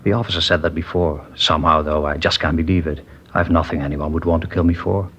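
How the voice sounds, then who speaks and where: An actor playing a mild, trusting older man who owns a small dress factory, talking in a gentle, hesitant and rambling way in a 1950s radio drama. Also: a bass voice, fairly even intonation, fast talker, slightly rough, exaggerated voice. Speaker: witness in a police interview. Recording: muffled vintage radio broadcast.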